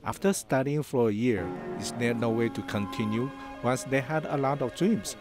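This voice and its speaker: Male dub voice for interview translations, mid pitch, earnest and plain. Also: moderate pace, music in the background. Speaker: voice-over translator.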